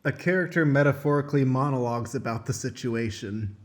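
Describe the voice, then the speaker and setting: A man's voice: medium pitch, a little fast, noisy background. A man acting as stage manager, narrating short stage directions for a radio play. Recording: roomy, echoey voice recording.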